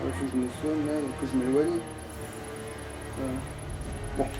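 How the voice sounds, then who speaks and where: A man's voice: mid-range voice, steady tone, unhurried. A man giving casual, sweary, grumbling gaming commentary to a co-player. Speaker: player on a gaming let's play. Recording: distant mic, game music behind. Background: game music.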